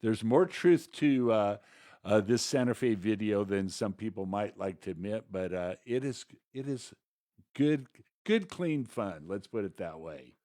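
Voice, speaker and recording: A man co-hosting a podcast, giving casual commentary in an informal, halting conversational manner. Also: lowish voice, expressive, warm tone, no room sound, clean studio sound. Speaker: podcast co-host.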